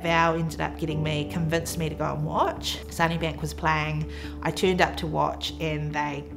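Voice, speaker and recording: Soft-spoken New Zealand woman, low voice. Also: little pitch movement, normal speed, gravelly. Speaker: athlete. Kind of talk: documentary interview. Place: indoor room with framed photos. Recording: music underneath.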